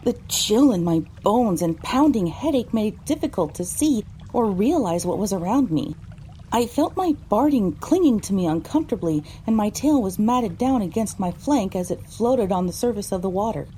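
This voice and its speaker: A woman's voice: mid-range voice, lively intonation, normal speed, dark tone, slight background noise. A woman delivering scripted, first-person narration for an audio drama.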